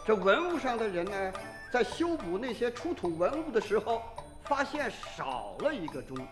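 An actor playing a blustering, exasperated rural village head in a Chinese radio drama, talking in a folksy, roundabout way. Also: male voice, high-pitched, big pitch swings, normal speed. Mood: explaining. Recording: roomy echo, faint music behind.

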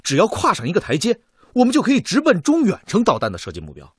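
An actor playing an earnest missile designer in a radio drama, arguing his bold plan to a meeting in an emphatic, deliberate way. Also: sits high, wildly swooping pitch, slightly rough, dry recording, clean studio sound, heavily acted. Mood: earnest, insistent. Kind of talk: radio drama acting, formal speech to a meeting.

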